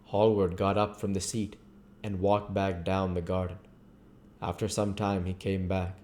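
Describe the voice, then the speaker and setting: A man narrates classic fiction aloud. His voice is mellow and relaxed, suited to a hosted book reading. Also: deep voice, a little slow. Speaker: reader hosting a book reading series. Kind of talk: literary audiobook-style narration.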